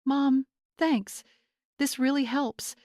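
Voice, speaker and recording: A woman's voice: high-pitched, steady tone, slow pace, darkish tone, no room sound, studio quality, pitched above the performer's own voice. A woman voicing a tired, mild young groom, an appeasing son, in a narrated story. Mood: relieved.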